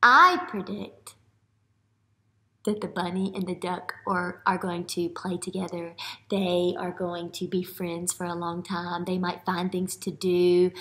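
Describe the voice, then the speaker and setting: A woman's voice: fairly low pitch, fairly bright tone. An American woman in her thirties, a teacher talking expressively to young children and often asking questions during a read-aloud. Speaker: early elementary teacher. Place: classroom, indoors. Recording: roomy classroom echo.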